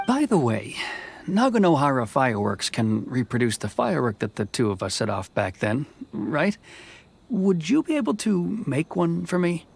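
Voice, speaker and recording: An actor playing a dutiful, conflicted officer in a video game, speaking in a measured, formal way. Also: male voice, on the high side, very animated, creaky, husky, heavily acted. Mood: reflective, restrained. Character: stern, dutiful officer man, conflicted but soft-hearted. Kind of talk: dramatic game dialogue acting. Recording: music behind the voice.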